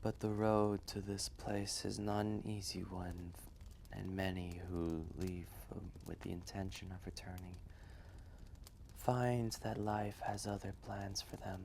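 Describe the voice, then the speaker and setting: A man acts out an ancient male dragon, gentle and wise, in a storytelling roleplay. The voice is low and very slow, often sinking into a breathy hush, with a kindly, measured manner. A faint noise sits behind it. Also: heavily acted. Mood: fond, wistful.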